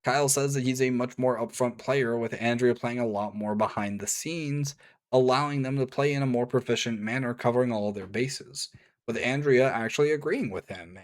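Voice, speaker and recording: A man reading a scripted review, with casual offhand asides and a voice gone strained and a little hoarse. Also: mid-range voice. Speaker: video reviewer.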